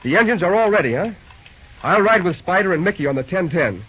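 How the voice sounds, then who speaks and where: A man's voice: high voice, expressive, fast talker, slightly rough. An actor playing a brash, determined young American railroad man in a breezy, wisecracking old radio drama style. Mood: brisk, confident. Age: young adult. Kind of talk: 1940s radio acting. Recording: muffled vintage radio recording.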